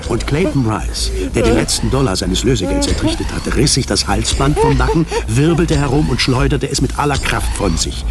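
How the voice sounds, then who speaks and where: An actor as the narrator of a science fiction radio drama, reading dramatic scripted narration. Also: male voice, medium pitch, sing-song, moderate pace, hardly any pauses, close to an everyday voice. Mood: suspenseful. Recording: music under the voice.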